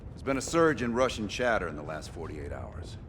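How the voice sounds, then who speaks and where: Deep, terse CIA field commander. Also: male voice, sing-song, a little fast, noisy background. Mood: businesslike. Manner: terse, grave. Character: grave, commanding male CIA field officer. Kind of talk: cinematic video game voice acting. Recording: loud music behind the voice.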